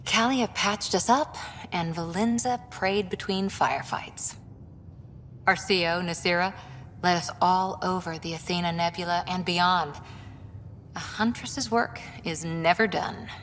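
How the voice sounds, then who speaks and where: An actress playing a young, steady, matter-of-fact ex-commando woman in a video game. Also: measured pace. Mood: wry, reflective. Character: young female ex-commando soldier, dry and steady. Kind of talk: video game character dialogue. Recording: long reverb, faint rumbling background noise.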